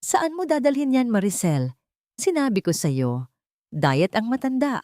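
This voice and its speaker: Cruel sweet-faced villainess, put on by a woman narrator for a storybook drama; raised, lively pitch. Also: unhurried, no room sound, clean studio sound. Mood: menacing. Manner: cold, mocking and threatening. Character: scheming cruel young woman, sweetly fake.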